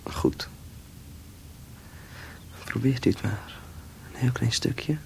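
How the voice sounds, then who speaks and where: A frail young man in a radio drama, tender and full of longing. He speaks slowly and haltingly, often in an intimate whisper that crackles into creak. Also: medium pitch. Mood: drowsy, hesitant. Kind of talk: Dutch radio drama acting.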